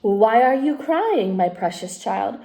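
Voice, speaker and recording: A woman voicing a kind old grandmother who speaks softly and soothingly, recorded in an echoey room. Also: fairly high pitch, lively intonation, slow pace, vocal fry, heavily acted. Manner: soft and soothing.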